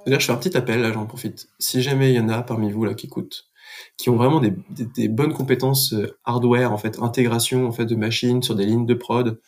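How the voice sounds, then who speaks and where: A man's voice: mid-range voice, fairly even intonation, fairly quick. A man, a podcast guest, explaining things in casual conversation. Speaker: podcast guest, business manager.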